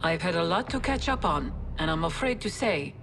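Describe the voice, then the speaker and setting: A woman's voice: on the low side, animated, moderate pace, creaky, noisy background. An actress playing a loyal, frank military aide who briefs her general familiarly in a video game. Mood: pleased, familiar. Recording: loud music behind the voice.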